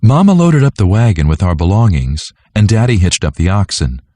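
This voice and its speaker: A man with a mellow, low voice reads a children's story aloud. His delivery is animated and scripted, in a close, dry sound. He narrates clearly as a storyteller for young listeners. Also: fairly quick, no echo.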